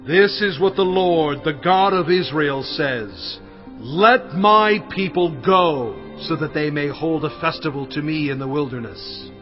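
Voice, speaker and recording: A man's voice: a little high, unhurried, highs cut off, soft background music, pitched above the performer's own voice, close to an everyday voice. A man doing an earnest, proclaiming Moses. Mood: urgent. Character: Moses and Aaron, earnest pleading messengers.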